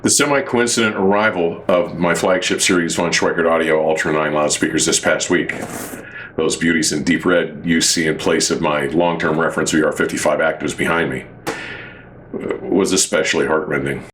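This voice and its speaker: A man hosting an audio review, speaking to camera in formal, wordy, measured phrasing as if from prepared notes. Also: a bass voice, fairly even intonation, a bit bright, a little hiss or hum. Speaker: audio reviewer and show host. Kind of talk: semi-scripted product review monologue to camera.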